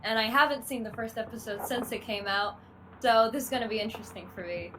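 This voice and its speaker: A woman's voice: a little high, fast, sharp tone, slight background noise, poor audio. A woman guest on a reaction panel, chatting casually in a hesitant, rambling way. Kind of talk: casual chat.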